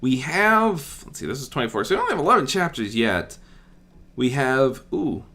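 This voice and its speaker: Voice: medium pitch, sing-song, normal speed, sharp tone. An amateur storyteller reading fiction expressively and chatting in casual asides.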